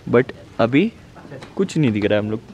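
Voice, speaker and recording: A young man with an Indian accent narrating a casual travel vlog, chatty and excited, mixing English words into his talk. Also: medium pitch, moderate pace, muffled recording, a little hiss or hum. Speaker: travel vlogger. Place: mountain cable cars and summit restaurant, busy with people. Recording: loud crowd chatter around.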